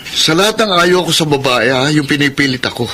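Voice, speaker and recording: A man's voice: fairly high pitch, animated, fairly quick, bright, forceful tone, slight background noise. An actor playing a cocky young womanizer and defiant son in a radio drama, brash and argumentative. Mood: cold, irritated. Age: young adult. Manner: brash, self-justifying, argumentative. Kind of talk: Filipino radio drama acting.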